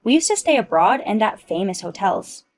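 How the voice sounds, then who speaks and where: A woman's voice: mid-range voice, big pitch swings, moderate pace. A woman voicing a snobbish, boastful rich mom who talks down to others. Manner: haughty, condescending.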